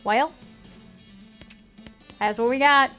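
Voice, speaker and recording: A woman's voice: high voice, takes it slowly, bright, forceful tone, clean tone, soft background music. A woman with a put-on Southern US accent, casually commenting on a game and reading its text aloud. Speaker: game streamer.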